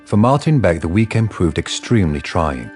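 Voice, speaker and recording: Deep-voiced male radio drama narrator. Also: sing-song, normal speed, a little mellow. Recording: faint music underneath.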